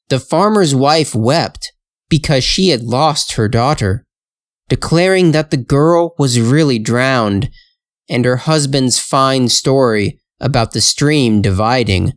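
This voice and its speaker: Guy reading a fairytale super slow n careful, like for english learners, dry sound. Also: medium pitch, no echo. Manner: careful, measured phrasing. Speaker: storyteller.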